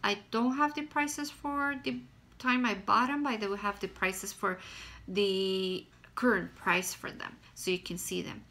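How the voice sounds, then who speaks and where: A woman's voice: medium pitch, fairly even intonation, a little slow, pushed and bright. A woman in her thirties from Guatemala with a Guatemalan Spanish accent, giving a casual product review at home, plain and hesitant with frequent fillers. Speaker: vlogger. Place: small room at home, indoors.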